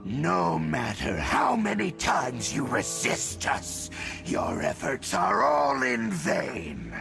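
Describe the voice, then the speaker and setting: A man playing a haughty, menacing herald of the abyss in a fantasy game, gravelly, pompous and sneering. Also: on the high side, wildly swooping pitch, takes it slowly, music in the background, heavily acted. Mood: cold, scornful. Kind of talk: fantasy game villain acting.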